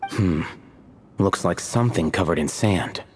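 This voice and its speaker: An actor voicing a laid-back, smug male scout in a video game, teasing in a deadpan way. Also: deep voice, a little slow, a little hiss or hum. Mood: nonchalant. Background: game music.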